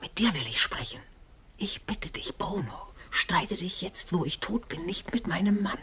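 A woman playing the gently mocking, pleading ghost of a dead wife in a radio drama, with a breathy, hushed voice. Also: lowish voice, expressive, normal speed, crowd noise. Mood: pleading whisper. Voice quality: breathy, husky whisper.

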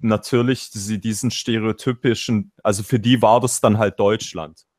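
A man's voice: fairly low pitch, steady tone, normal speed, a little mellow. Rambling Swabian-accented man on a call. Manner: rambling, self-interrupting, acts out quotes. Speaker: panel guest. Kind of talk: casual political chat. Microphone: video call.

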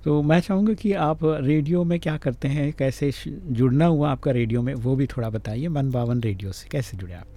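A man's voice: mid-range voice, moderate pace, hardly any pauses, mellow. A man with an Indian accent hosting a casual radio talk show interview, often murmuring short acknowledgements. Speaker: radio host.

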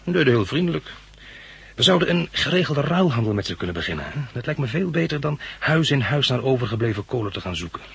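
A man's voice: mid-range voice, sing-song, fast, vocal fry, fairly bright tone, breathy edge, full character voice. An actor playing a practical, easygoing male survivor in a 1960s radio drama.

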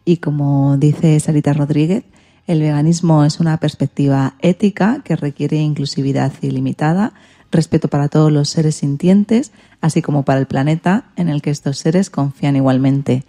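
Low, creaky, very mellow woman host. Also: normal speed. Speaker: radio programme host. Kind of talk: hosting a radio magazine programme.

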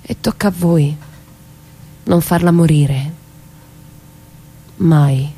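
A courageous mother who defies those who threaten her. Her delivery is intense and deliberate, with a bitter edge, in the manner of Italian stage drama on the radio. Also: deep voice, warm tone, slightly rough, exaggerated voice. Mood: solemn, defiant. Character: defiant mother and murdered mafia witness, courageous, bitter.

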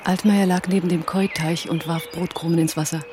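An actress playing a criminal's sceptical female accomplice in a radio crime drama, husky and measured, with pointed questions. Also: fairly low pitch, steady tone, noisy background, natural voice. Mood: reflective narration. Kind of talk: German radio crime drama acting and narration.

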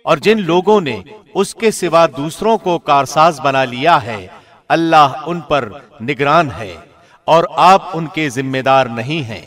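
A man reading a scripture translation as a formal scripted narration, in a measured, solemn manner. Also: fairly high pitch, a bit bright.

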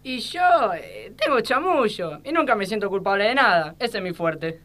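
A woman's voice: medium pitch, sing-song, normal speed, a bit bright. A woman playing a shameless, obliging political crony in an amateur satirical sketch.

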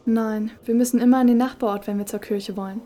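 Woman acting a soft-toned, higher-voiced teenage schoolgirl. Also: fairly even intonation, fast talker, natural voice. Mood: earnest. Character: earnest, spirited boarding-school girl in her late teens. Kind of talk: German audio drama acting.

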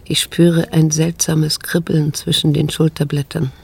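A haunted middle-aged daughter narrating a radio play. Her voice is gravelly and husky, held almost flat. She sounds brooding and bitter, keeping everything restrained. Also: low voice, a little slow, full character voice. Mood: tense, hesitant. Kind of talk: introspective radio drama narration.

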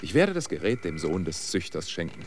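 An actor in a German radio drama playing a sober, world-weary outsider who speaks in a dry, matter-of-fact way. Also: male voice, low voice, sing-song, a little fast, a little hiss or hum. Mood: reflective.

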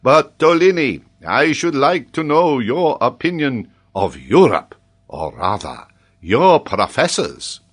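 A man's voice: medium pitch, sing-song, takes it slowly, pitched above the performer's own voice, heavily acted. Storybook French geographer, played by a man: theatrical, exclamatory, mock-admiring, raised voice with huge swings. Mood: mock admiration. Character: excitable, theatrical French male geographer, amused and incredulous.

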